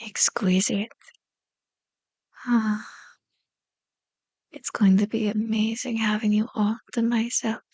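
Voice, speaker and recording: Girl doing asmr vampire lady, hypnotic and possessive, soft breathy whispery, barely varies her pitch, super close dry mic. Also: level tone, no echo. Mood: satisfied, possessive.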